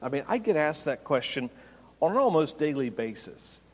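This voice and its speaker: A man's voice: on the high side, big pitch swings, moderate pace, big-room sound. A man preaching a sermon, explaining scripture and raising his voice when quoting. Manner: expository preaching, raises voice for quoted lines. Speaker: pastor.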